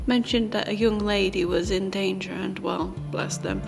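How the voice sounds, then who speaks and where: A woman playing an enigmatic, protective time-traveller in an audio drama, measured, formal and wry. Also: medium pitch, steady tone, creaky, faint music behind, lightly acted. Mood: composed.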